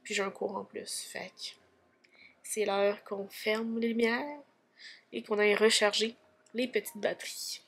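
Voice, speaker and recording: A woman's voice: medium pitch, fairly even intonation, a little slow, creaky, pauses often, fairly bright tone, husky. A woman in her twenties with a Québécois French accent, chatting casually in a vlog diary while stuffy from a cold, full of fillers. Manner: chatty, many fillers like "fait que" and "tu sais". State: congested from a cold. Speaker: vlogger.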